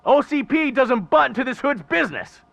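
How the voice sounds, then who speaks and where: Gravelly male hoodlum, high and strained, taunting, cocky, slangy, foul-mouthed, video game villain. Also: sing-song, brisk, a bit bright, dry recording, dull audio, exaggerated voice. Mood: cocky threat. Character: cocky, foul-mouthed male street thug holding hostage.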